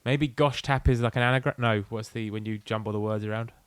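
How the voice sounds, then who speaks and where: A man's voice: lowish voice, steady tone, fast, smooth, no echo. A British man co-hosting a podcast, rambling in casual, exasperated comic banter with heavy swearing. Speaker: podcast co-host.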